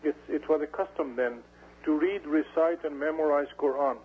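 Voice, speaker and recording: A man, a guest in a radio interview, talking in halting phrases with many fillers and restarts. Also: on the high side, slightly rough. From Pashtun area of Pakistan. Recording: dull radio broadcast with faint hum.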